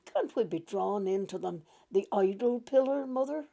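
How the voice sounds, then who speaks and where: A woman reader plays a grown farmer son in a storybook tale. He is mild and cautious, speaking gently and guardedly in a mellow, slightly creaky voice. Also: medium pitch, expressive, normal speed. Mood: gentle, wry.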